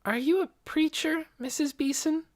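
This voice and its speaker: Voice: high voice, moderate pace, voice pushed up, natural voice. A narrator voicing an eager young girl in an audiobook, earnest, polite and full of questions. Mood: polite, curious. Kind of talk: audiobook character voice.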